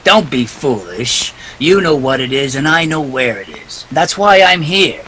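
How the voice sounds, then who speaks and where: A hard-boiled male detective played by an actor in a 1940s radio drama. His delivery is clipped and wisecracking, swinging widely in a sharp, bright voice. Faint noise sits behind the recording. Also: medium pitch, big pitch swings, unhurried, low-quality recording. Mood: tough, impatient. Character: tough, wisecracking male private detective, impatient and cynical.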